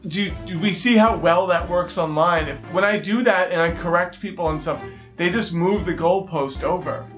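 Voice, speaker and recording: A man's voice: high voice, normal speed, a bit bright, faint music behind. A man chatting casually and wryly as a commentator, paraphrasing other people mockingly. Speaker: interviewer and commentator. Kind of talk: casual reaction chat.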